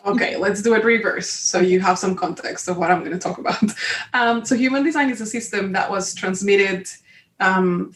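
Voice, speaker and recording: A woman with a Colombian Spanish accent, a native Spanish speaker, chatting enthusiastically as a podcast guest and laughing often. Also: mid-range voice. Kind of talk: casual interview chat. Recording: echoey room, far from mic.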